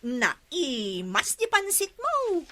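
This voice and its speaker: A woman with an Ilocano Filipino accent playing a gossipy, flattering villager who is eager to please, in a radio comedy drama. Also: very high voice, extremely slow, heavily acted.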